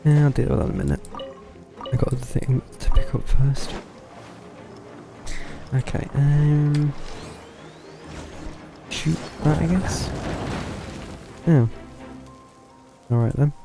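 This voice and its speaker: A man's voice: a little high, slow, gravelly, long pauses. A man giving casual live game commentary, dryly muttering to himself, with game music behind him. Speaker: game streamer. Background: video game music.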